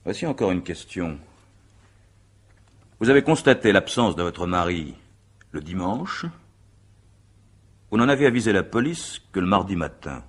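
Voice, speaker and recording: A very formal examining magistrate in a radio mystery play. His voice is low and his phrasing measured. He probes methodically and pedantically, suspicious of the witness he questions. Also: big pitch swings, slightly rough, heavily acted. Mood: probing, stern. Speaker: actor.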